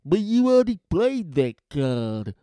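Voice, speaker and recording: A man voicing a gruff, bullying guard in an audiobook, with a menacing growl and snarl. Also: on the high side, sing-song, measured pace, dark tone, dry recording, studio quality, pitched above the performer's own voice. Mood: irritated. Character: gruff, bullying thug guards and their captain.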